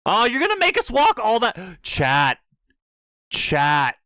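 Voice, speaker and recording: Animated male game streamer. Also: high-pitched, slow, smooth, dry recording, clean studio sound. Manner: excitable, repeats phrases for emphasis. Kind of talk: casual livestream game commentary. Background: faint game music.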